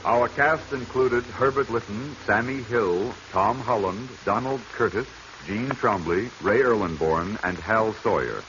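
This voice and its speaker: A man's voice: fairly low pitch, unhurried, few pauses. A man giving a scripted announcement in the formal style of a 1940s radio announcer. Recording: muffled old radio recording, faint noise.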